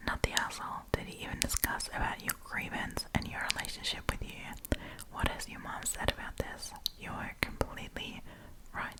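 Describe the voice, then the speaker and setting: A woman telling stories in a breathy ASMR whisper, with chatty, playful, slangy commentary. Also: moderate pace, poor audio. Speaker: ASMR storytime host.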